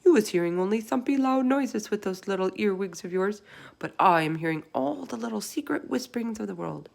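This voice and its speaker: Lady doing a big friendly giant guy from a kids book, proud n earnest, gravelly, funny grammar. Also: mid-range voice, animated, a little fast, a little mellow. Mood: boastful, emphatic. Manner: quaint muddled grammar, emphatic delivery. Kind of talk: storybook read-aloud character voice.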